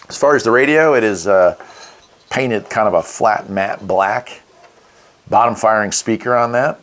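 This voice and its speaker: A man hosting a casual product walkthrough, easygoing and thinking aloud as he goes. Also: lowish voice. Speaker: radio reviewer, channel host.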